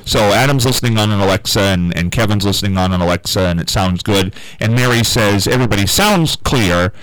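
Deep-voiced man hosting call-in radio. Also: brisk, dry recording, rough recording. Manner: relaxed, conversational, takes calls. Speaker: radio talk show host. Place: small carpeted studio room.

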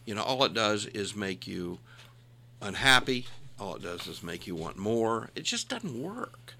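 A man's voice: lowish voice, big pitch swings, a little slow, gravelly, sharp tone. A man in his sixties chatting casually with a co-host, folksy and self-deprecating, leaning into emphatic points. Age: 60s. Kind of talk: casual chat.

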